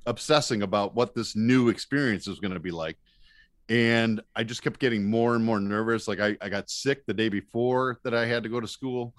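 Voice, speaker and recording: A man's voice: on the low side, moderate pace, dry recording. A man with an American accent telling self-deprecating stories in a casual, comic way as a podcast guest.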